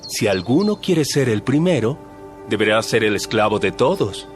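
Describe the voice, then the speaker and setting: A man playing a calm, authoritative male teacher, speaking in a measured, solemn way in a dramatized audio Bible. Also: on the low side. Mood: earnest teaching. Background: soft music.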